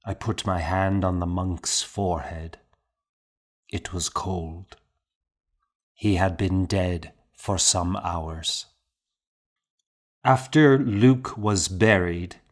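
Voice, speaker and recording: A man reading a historical novel aloud in a measured, solemn, first-person storytelling manner. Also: low voice. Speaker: audiobook narrator.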